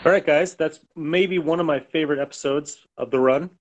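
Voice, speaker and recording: A man's voice: on the high side, a little fast, lo-fi sound, very noisy. A man chatting casually as a podcast host. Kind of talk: casual chat.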